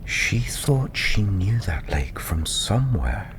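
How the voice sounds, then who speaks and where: Fantasy ASMR narrator: low-pitched, slow, breathy and hushed, over clearly audible background ambience. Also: big pitch swings. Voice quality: soft, breathy, half-whispered. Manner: soothing, hushed, unhurried. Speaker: storyteller. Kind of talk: ASMR fantasy bedtime storytelling, scripted narration. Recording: constant ambient noise behind the voice. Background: ambience, crackling tavern fire.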